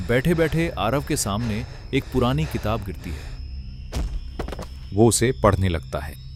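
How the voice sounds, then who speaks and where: A man's voice: mid-range voice, animated, unhurried, music in the background. A man narrating a scripted cartoon story as a storyteller.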